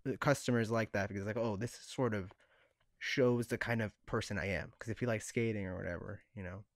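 A man with a fairly low voice speaks as a podcast co-host in a very dry recording. He talks casually and tentatively, working out his thoughts as he says them. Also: steady tone, fairly quick, dry recording. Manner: tentative, thinking aloud. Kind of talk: casual discussion.

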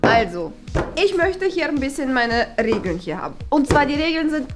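A woman's voice: sits high, big pitch swings, moderate pace. A young woman playing a smug, flighty, bossy new headmistress in a comedy sketch, chatty and rambling. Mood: breezy, self-satisfied. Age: young adult. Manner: chatty, rambling, self-satisfied. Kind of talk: improvised comedy sketch acting. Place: small bright meeting room.

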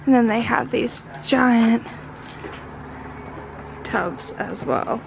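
A woman's voice: a little high, lively intonation, drawn-out words, vocal fry. A woman in her twenties giving casual, enthusiastic travel vlog narration full of exclamations. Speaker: vlogger. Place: farm sugarhouse and outdoors. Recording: noisy on-location camera audio.

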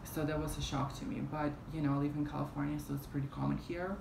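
Young woman vlogger, low flat gravelly voice, fan humming in the background. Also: quick pace. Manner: rambling, many fillers and restarts. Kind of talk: casual storytime vlog. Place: small room at home, indoors, fan running. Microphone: camera in front at arm's length. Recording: roomy with fan noise behind. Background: fan hum.

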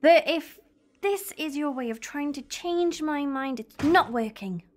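A woman playing a tough, stubborn young time traveller's companion in an audio drama, blunt and quick to answer back. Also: high-pitched. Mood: angry, defiant.

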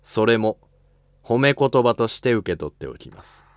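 A man's voice: medium pitch, sing-song, moderate pace, no echo. Man playing cool, ambitious young nobleman, dry sound. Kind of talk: amateur historical drama dialogue.

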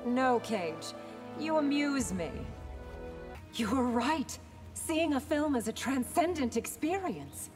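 A dignified, dismissive warrior woman for a fighting game. Her voice sits fairly high and moves freely up and down. She takes her time over formal, withering words. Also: lively intonation, slow pace, over music. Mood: dismissive amusement. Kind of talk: video game fight-intro banter.

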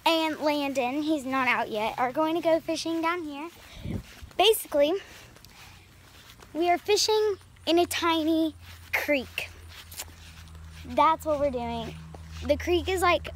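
A woman's voice: sits high, slow, long pauses, slight background noise. A female vlogger chatting casually outdoors by a small creek into a handheld camera, rambling with lots of fillers. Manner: rambling, filler-heavy, upbeat. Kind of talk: casual vlog chat.

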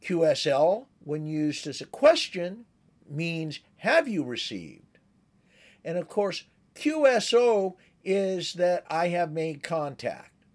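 Older man, high lively voice, unhurried. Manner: conversational, instructive. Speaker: amateur radio instructor, presenter. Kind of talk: explanatory tutorial with demonstrated radio calls.

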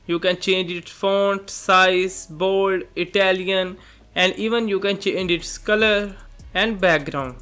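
A man presenting a software tutorial, narrating step by step in simple instructional phrases. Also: sits high. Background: background music.

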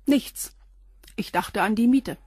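An actress playing a reserved concierge around forty in a radio drama, giving short, matter-of-fact answers. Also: female voice, a little high, takes it slowly, dark tone, husky, no room sound, studio quality. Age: 40s.

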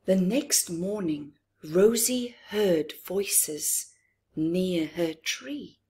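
A middle-aged woman reading a story aloud to young children, expressively, with dramatic pauses and chatty asides. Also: fairly low pitch, lively intonation, mellow, husky. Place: living room, indoors.

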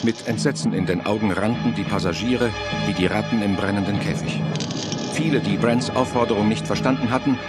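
Dramatic male narrator over loud music. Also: on the low side, fairly quick. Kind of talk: dramatic scripted horror radio-play narration. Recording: loud music and sound effects behind.

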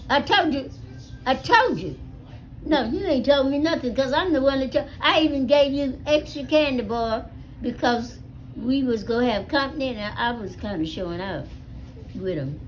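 An elderly American woman telling stories casually to family, with a salty, animated manner, recorded on a phone at home. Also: high-pitched, a little hiss or hum, rough recording. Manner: animated, salty, acts out dialogue with swearing. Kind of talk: casual storytelling among family. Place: small living room at home. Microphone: phone held near her.

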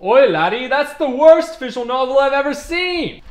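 Man putting on a loud, high-pitched heckler. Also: lively intonation, normal speed. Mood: outraged. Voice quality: unsteady, shifting put-on accent. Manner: hectoring, emphatic, bossy. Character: loud, scolding blanket-wrapped heckler who calls everyone laddie.

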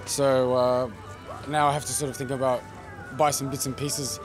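A man's voice: mid-range voice, level tone, moderate pace. A man in his thirties, a yacht chef, chatting casually while shopping in a supermarket. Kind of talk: casual chat. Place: inside a supermarket. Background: music, shop background.

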